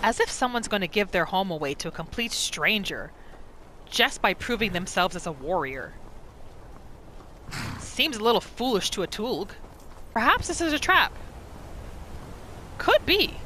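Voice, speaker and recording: A woman gaming host narrating a roleplay playthrough in a casual, expressive, playful storytelling style. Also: medium pitch, measured pace, pauses often, slight background noise.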